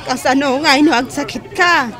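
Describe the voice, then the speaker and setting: Actress as nosy older auntie in a radio drama, highish, crowd and music behind her. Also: normal speed, lightly acted. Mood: concerned. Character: gossipy, concerned older widowed village friend. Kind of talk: Ilocano radio drama acting.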